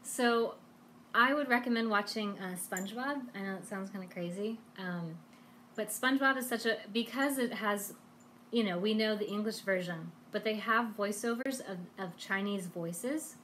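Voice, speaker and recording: A woman's voice: mid-range voice, normal speed, a bit bright. Woman chatting on a live lesson stream, encouraging, casual, bit of noise behind her.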